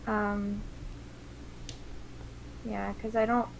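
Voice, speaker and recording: A woman's voice: medium pitch, flat delivery, drawn-out words, gravelly, slight background noise, low-quality recording. A woman in her twenties chatting casually on her stream while drawing, with plenty of fillers. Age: 20s. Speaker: streamer host.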